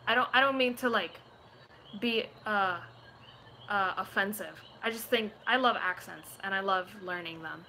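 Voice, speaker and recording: A woman's voice: medium pitch, moderate pace, bright, forceful tone. A twenty-year-old woman from Puerto Rico chatting casually and playfully in a rambling way, like a vlogger talking to viewers. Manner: playful, rambling, slips into put-on accents and voices. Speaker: video creator chatting with viewers. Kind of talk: casual live chat. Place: small room at home, indoors. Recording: noisy home webcam audio.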